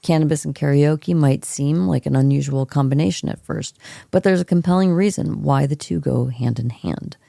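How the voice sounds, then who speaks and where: A woman co-hosting a podcast with a hoarse, froggy voice, reading an article aloud in a relaxed, unhurried way. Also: deep voice, dry recording, clean studio sound. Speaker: co-host. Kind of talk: reading an article aloud, then casual chat.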